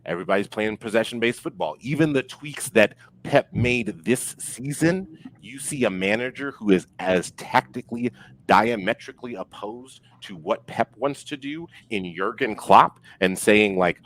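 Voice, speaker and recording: A man's voice: lowish voice, animated, moderate pace, a bit bright, low-quality recording. A man giving opinions in a casual sports discussion, very informal and peppered with filler words. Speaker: podcast guest.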